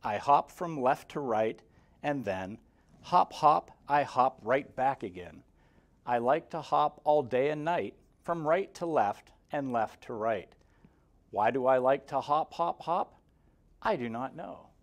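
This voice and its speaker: A man's voice: medium pitch, animated, takes it slowly. A man voicing a bouncy, carefree little hopping creature from a storybook, in a punchy, rhythmic delivery. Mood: bouncy, playful.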